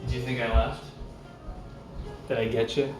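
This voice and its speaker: A man's voice: mid-range voice, flat delivery, moderate pace. Casting: male livestreamer, casual and jokey, teasing delivery, echoey kitchen with music behind. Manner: playful, teasing, joking. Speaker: livestreamer cooking at home. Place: home kitchen, indoors.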